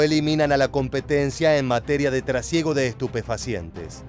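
A man giving scripted voice-over narration at a steady, measured pace. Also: mid-range voice. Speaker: documentary narrator.

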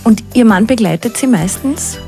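A woman's voice: a little high, lively intonation, normal speed, vocal fry, darkish tone, faint music behind. A woman interviewing a guest on the radio, asking attentive, prompting questions.

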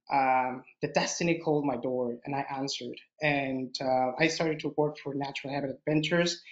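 A man's voice: a little high, a bit bright. A man from Mexico with a Mexican accent, presenting a nature webinar over a video call in slightly broken English with frequent fillers. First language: Spanish. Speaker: expedition leader presenting a webinar. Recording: roomy, dull video call audio.

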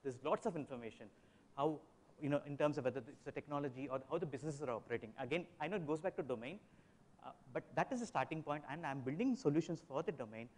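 Fast-talking man on a conference panel. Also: fairly high pitch, expressive, darkish tone. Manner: fast, emphatic, storytelling with examples. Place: large hotel conference hall.

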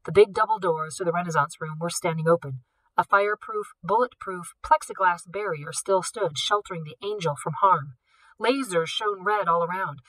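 A woman's voice: mid-range voice, no room sound, far from the mic, low-quality recording. A woman, a teacher, reading a novel aloud, lifting her voice for the characters' lines. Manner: shifts up and louder for dialogue.

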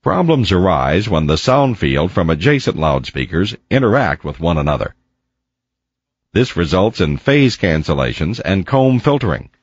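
Male instructional narrator, low register, measured and didactic, very dry voice-over with slightly muffled sound. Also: sing-song, husky, no echo. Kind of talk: scripted educational narration. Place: close, dry voice-over recording.

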